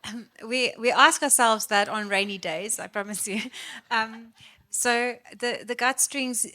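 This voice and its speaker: A South African woman, a baroque violinist, explaining her instrument at a festival talk in a relaxed way. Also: a little high, a little slow. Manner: relaxed, explanatory, light laughs.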